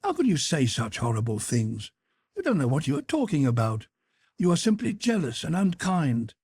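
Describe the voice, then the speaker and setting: A man's voice: fairly high pitch, huge pitch swings, moderate pace, a little mellow, husky, no room sound, clean studio sound, pitched above the performer's own voice, exaggerated voice. A man voicing a dreamy, infatuated young woman who pleads lightly with her brother in a storybook reading. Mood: pleading.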